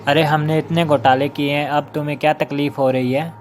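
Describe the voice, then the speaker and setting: A man's voice: fairly high pitch, little pitch movement, brisk, natural voice. A man playing a commanding, theatrical figure in a dramatized children's horror story. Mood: bossy. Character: exorcist priest chanting mantras, and scheming gang boss. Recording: music behind the voice. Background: music.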